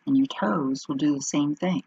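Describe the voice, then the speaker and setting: A woman leading an unscripted guided meditation in an unhurried manner, pausing between short phrases. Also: low voice, vocal fry, warm tone, breathy edge, no room sound, highs cut off.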